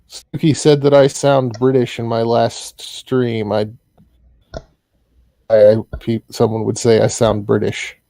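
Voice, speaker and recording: A man's voice: medium pitch, flat delivery, mellow, no echo, low-quality recording. A man with an Iowa accent, an interview guest chatting casually, with frequent restarts. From Iowa, US Midwest. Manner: halting, restarts often. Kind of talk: casual chat.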